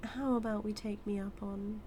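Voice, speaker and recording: A woman's voice: mid-range voice, steady tone, warm tone, a little hiss or hum, lightly acted. A woman with a British accent playing a smug, flirtatious supervillain teasing a rival hero in an intimate audio roleplay monologue. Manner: teasing, sly, flirtatious, drawn-out delivery.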